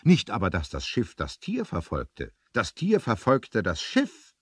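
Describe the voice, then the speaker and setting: A male narrator with a very low, husky voice tells a scripted adventure story. His delivery is lively and suspenseful, with pitch that moves a lot, in classic radio play style. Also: unhurried, no echo, clean studio sound.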